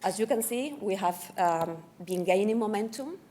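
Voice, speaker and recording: Woman host introducing a speaker, mellow warm voice, formal. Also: medium pitch, animated, normal speed, slight background noise. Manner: formal, with small slips. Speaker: event host.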